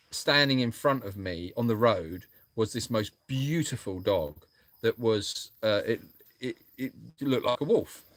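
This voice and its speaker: A man's voice: on the low side, a bit bright, dry recording. A man co-hosting a casual livestream chat, telling a rambling anecdote and thanking viewers by name. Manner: rambling anecdotal storytelling with restarts. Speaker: sanctuary co-host.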